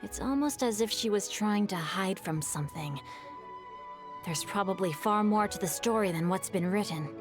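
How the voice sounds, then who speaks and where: A woman's voice: mid-range voice, normal speed, natural voice. A woman voicing a polite, bookish young man in a video game, speaking in formal, thoughtful, storytelling phrases. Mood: measured, curious. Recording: game dialogue over background music. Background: game music.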